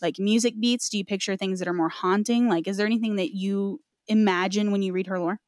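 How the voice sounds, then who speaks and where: A woman's voice: mid-range voice, steady tone, brisk, a little mellow, dry recording, studio quality. A woman hosting a podcast and interviewing in a casual, chatty style. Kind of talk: casual chat.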